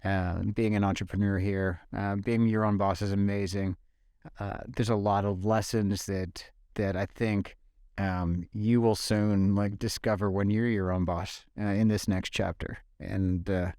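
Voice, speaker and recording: A man's voice: on the low side, level tone, a little slow, a little mellow, dry recording, clean studio sound. Upbeat man co-hosting a podcast. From Ontario, Canada. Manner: upbeat, enthusiastic. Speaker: podcast co-host. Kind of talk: casual podcast chat.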